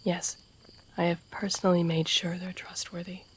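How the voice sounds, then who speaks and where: A woman's voice: lowish voice, little pitch movement, moderate pace, a little hiss or hum, close to an everyday voice. A woman playing a guarded, deadly royal assassin and devoted protector in a fantasy audio roleplay, stern and formal, often dropping toward a whisper. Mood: solemn, devoted. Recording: outdoor night ambience with crickets behind. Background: crickets and night nature ambience.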